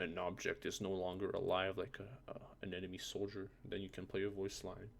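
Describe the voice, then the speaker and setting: A man presenting a casual software tutorial in an informal, hesitant way, thinking aloud as he goes. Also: sits low, gravelly. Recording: noisy home recording with keyboard clicks. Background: background noise, computer keyboard and typing.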